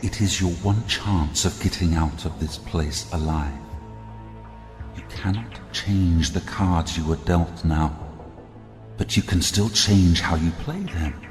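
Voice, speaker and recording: An actor playing an embittered, silky and calculating royal elder brother in an audio drama, with a deliberate, commanding, theatrical delivery. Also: male voice, deep voice. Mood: persuasive, sly. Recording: long reverberant hall, music behind.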